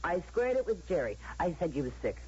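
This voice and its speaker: A woman's voice: fairly low pitch, very animated, a little fast, warm tone, breathy edge, exaggerated voice. An actress playing a brassy, slangy working-class woman friend in an old-time radio drama, casual and blunt. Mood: breezy, incredulous. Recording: muffled old radio broadcast recording.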